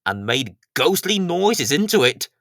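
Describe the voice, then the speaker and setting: A man's voice: on the high side, very animated, unhurried, a bit bright, dry recording, clean studio sound. A man narrating a scripted children's story as storyteller.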